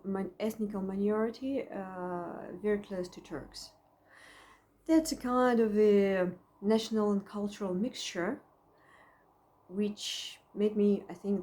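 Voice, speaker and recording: A middle-aged woman with a Russian accent, a psychologist speaking at home in a thoughtful, halting way, with frequent pauses and restarts. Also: medium pitch, dark tone. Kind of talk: personal reflection to camera.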